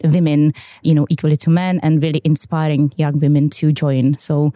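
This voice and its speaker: Low-voiced Czech woman, dry sound. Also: little pitch movement, normal speed, dark tone, no echo. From Czech Republic. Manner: hesitant, many fillers, upbeat. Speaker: guest, quality systems manager. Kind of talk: podcast interview.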